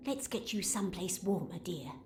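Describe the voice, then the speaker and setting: A woman's voice: lowish voice, expressive, moderate pace, close to an everyday voice. A woman playing a motherly, caring character with a scolding streak in an audiobook, fussing over others. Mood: tender. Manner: fussing, endearing address. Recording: clearly noisy background.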